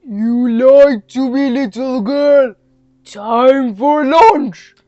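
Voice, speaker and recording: Woman as an angry, booming storybook bear. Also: extremely slow, mellow, dry recording, lo-fi sound, soft background music. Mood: angry, demanding. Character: angry, greedy male bear threatening a little girl.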